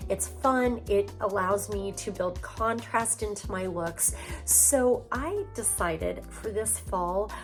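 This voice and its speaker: A woman hosting a casual style vlog from her home, her voice raspy and hoarse from a cold, chatty and upbeat as she talks to her viewers. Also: mid-range voice. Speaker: style vlogger and show host. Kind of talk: casual friendly wardrobe vlog.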